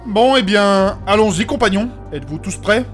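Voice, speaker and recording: A man's voice: high voice, animated, normal speed, over music. A man playing a courteous, earnest adventurer who leads his companions in a comic fantasy audio drama, speaking formally and theatrically. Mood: rallying.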